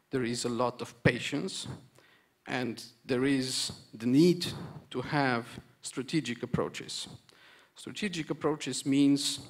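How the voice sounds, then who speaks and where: A man from Romania gives an earnest conference speech, stressing points through repetition and pausing for hesitant restarts. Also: medium pitch. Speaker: conference panel speaker.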